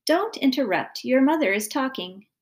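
An exasperated storybook dad, played by a woman, stern and fed up with his noisy household. The voice is loud and pitched fairly high, with big swings as the patience runs out. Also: expressive, normal speed. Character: exasperated shouting father of a noisy family.

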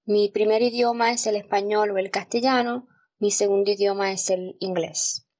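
A woman's voice: medium pitch, fairly even intonation, moderate pace, darkish tone. A woman in her twenties from Puerto Rico with a Puerto Rican accent, chattily telling her own story with small restarts. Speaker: guest sharing her experience. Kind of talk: casual personal storytelling.

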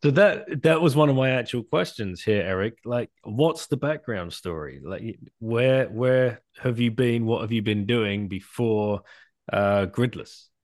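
A man hosting an interview, asking casual, unhurried questions over a video call. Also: medium pitch, lively intonation, no echo, studio quality. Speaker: host.

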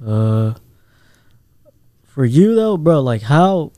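A young man with a deep voice co-hosting a podcast, laid-back and easygoing, calling everyone bro and laughing easily. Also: big pitch swings, extremely slow, dark tone, dry recording, clean studio sound. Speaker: podcast co-host. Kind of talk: casual chat.

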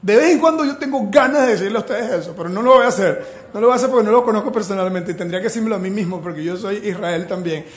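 A man from Venezuela preaching a sermon as pastor, animated and joking as he retells the story. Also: sits high, rapid-fire, roomy sound.

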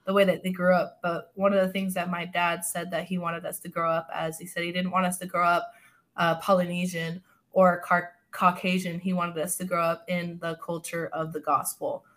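Woman chatting on a podcast, kinda low voice, laughs a lot telling stories. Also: normal speed. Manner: laughing, animated storytelling with quoted voices. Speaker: guest. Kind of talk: casual podcast chat.

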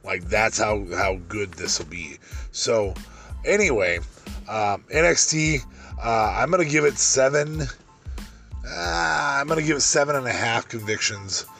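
A man with a deep voice hosting a solo podcast, rambling through a wrestling review with many ums and restarts. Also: lively intonation, unhurried, gravelly, pushed and bright, faint music behind. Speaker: podcast host.

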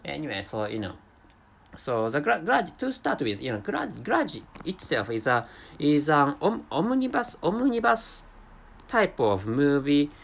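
A man whose first language is Japanese, hosting a solo episode in English, talking in a halting way with many restarts and stumbles. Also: a little high, huge pitch swings, vocal fry. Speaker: podcast host. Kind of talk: casual monologue.

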